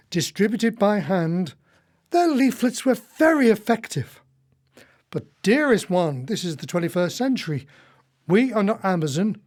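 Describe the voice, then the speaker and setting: A man's voice: high voice, darkish tone. An actor playing a wry, devoted male co-conspirator in a radio play, with a theatrical delivery.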